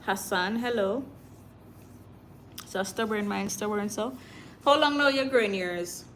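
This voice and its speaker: A woman's voice: medium pitch, measured pace, a bit bright. A woman with a Jamaican accent hosting a casual livestream, chatting informally with viewers and drifting into Patois. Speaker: livestream host. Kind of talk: casual live chat with viewers. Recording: clear background noise.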